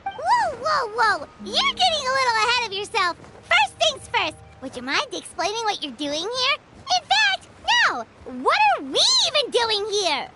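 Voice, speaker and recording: A woman voicing a tiny, excitable flying fairy companion in a video game, with a squeaky childlike voice and exclamatory manner. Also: unhurried, very noisy, exaggerated voice. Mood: startled, indignant. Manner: exclamatory, speaks in third person.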